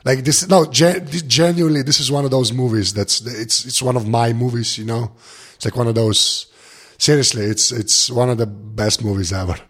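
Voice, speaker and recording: A tired man with a gravelly voice chatting casually as a podcast guest, rambling in an informal, self-deprecating way. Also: medium pitch, steady tone, normal speed, fairly bright tone. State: tired, barely awake. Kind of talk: casual chat.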